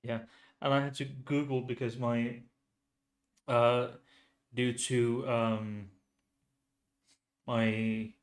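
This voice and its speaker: Slow, hesitant Finnish-accented man. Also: medium pitch, little pitch movement. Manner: hesitant, many restarts and fillers. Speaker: true crime channel host. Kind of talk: casual solo commentary.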